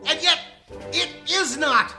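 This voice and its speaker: Woman acting a shrill, blustering frat boy. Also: slow pace, sharp tone, soft background music, exaggerated voice. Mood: rousing, indignant. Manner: ranting, declamatory. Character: drunken, blustering male frat misfit rallying his friends. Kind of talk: amateur radio comedy acting.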